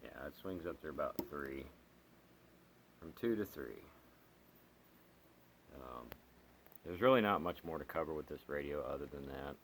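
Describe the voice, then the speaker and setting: A man reviewing gear as a hobbyist in a casual, unscripted, halting and rambling way, with pauses as he explains. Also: very deep voice, lively intonation, fairly bright tone, slight background noise.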